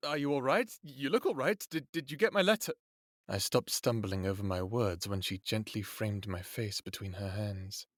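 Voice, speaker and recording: A man acting as an earnest, lovestruck human in an audio drama, speaking and narrating in a halting, intimate way. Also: on the low side, animated, no echo, clean studio sound. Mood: nervous, tender. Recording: music bed behind the voice.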